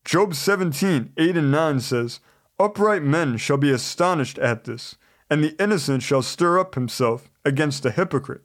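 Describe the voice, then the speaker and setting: A man preaching a sermon in a measured, scripted delivery, earnest and exhorting. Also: fairly high pitch, expressive.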